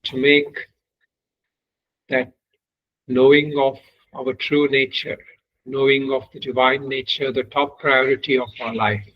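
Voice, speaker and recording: A man leading a guided meditation as a teacher, giving measured, unhurried instructions with long pauses. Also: medium pitch, flat delivery, lo-fi sound.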